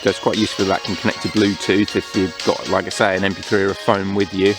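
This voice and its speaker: Low-pitched man reviewing gear. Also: little pitch movement, over music. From United Kingdom. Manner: unhurried, explanatory. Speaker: product reviewer. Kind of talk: casual hands-on product review. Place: outdoors among greenery. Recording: clear outdoor background noise.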